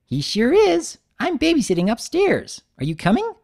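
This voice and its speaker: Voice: high-pitched, huge pitch swings, normal speed, gravelly, darkish tone, voice pushed up, heavily acted. A narrator voicing a bubbly, hyperactive young female cartoon pony, gushing and sing-song. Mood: cheerful.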